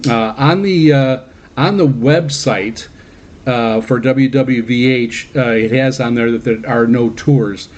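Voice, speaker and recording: A man hosting a hobby radio program in a casual monologue, speaking haltingly with many uhs and repeated words. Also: medium pitch. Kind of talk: casual radio monologue recounting personal trips. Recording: dull, lo-fi band-limited audio.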